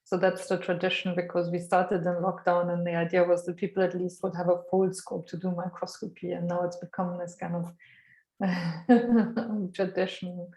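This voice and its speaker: A woman's voice: fairly low pitch, flat delivery, normal speed, darkish tone. Woman host, chatty, echoey room sound, video call. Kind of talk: casual chat.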